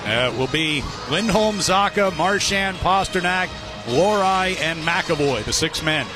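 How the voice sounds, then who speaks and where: A high-voiced man works as a radio play-by-play announcer. He calls live hockey in clipped phrases, with clear background sound on the broadcast. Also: lively intonation, a little slow, music in the background. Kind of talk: live ice hockey commentary.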